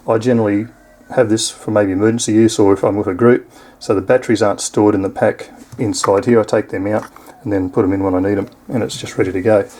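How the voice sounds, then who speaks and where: A man's voice: fairly low pitch, steady tone, quick pace, dark tone. A man with an Australian accent giving a casual, unscripted product demonstration in an easygoing, explanatory way. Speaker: product reviewer.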